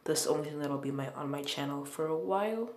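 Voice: mid-range voice, fast talker, a little mellow, reverberant. A woman chatting casually as an amateur voice clip maker in a phone recording. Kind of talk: casual chat.

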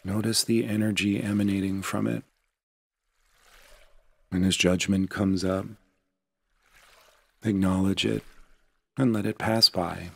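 A man with a very deep voice leads a guided meditation. His delivery is gentle and unhurried, with a noticeable creak in the tone. The sound is dry and close, free of room echo. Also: fairly even intonation. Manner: gentle, unhurried, soothing instruction.

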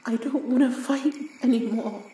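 A woman performing a sorrowful, forgiving woman in an audio drama, soft and tearful, speaking in a halting, earnest and tender way. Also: a little high, lightly acted. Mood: tender, pleading. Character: sorrowful, forgiving woman reconciling with her wounded past self. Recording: roomy, distant mic, faint noise.

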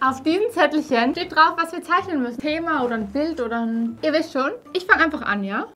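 A woman's voice: high-pitched, brisk, pushed and bright, faint music behind. A young woman chatting casually and playfully as a YouTube host in a room indoors. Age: young adult. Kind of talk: casual vlog chat. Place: small furnished room indoors.

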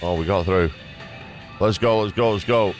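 A man's voice: low-pitched, normal speed. A man giving casual, reactive commentary as he plays a video game. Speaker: gaming video host. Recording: game music behind the voice.

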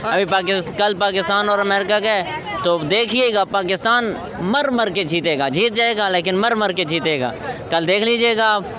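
A man in his twenties with a Pakistani accent gives a casual, explanatory opinion. His voice is high, and he repeats points to press them home. Clear crowd and street noise surrounds him outdoors. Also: normal speed. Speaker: street interviewee. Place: outdoors at night by parked cars and traffic. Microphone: interviewer's handheld microphone.

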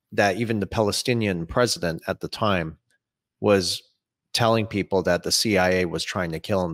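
A man in his thirties speaks in a low voice as he hosts an interview. He lays out long, opinionated questions over a video call. The sound is dry, with very little room in it. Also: no echo. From Saudi Arabia. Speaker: interviewer and host. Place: small home room, indoors.

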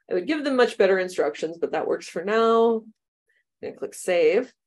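A woman's voice: lowish voice, darkish tone. Chatty American woman leading a teacher webinar. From United States. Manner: chatty and upbeat, laughs often. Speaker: computer science teacher trainer. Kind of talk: webinar workshop demo. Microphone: webcam video call.

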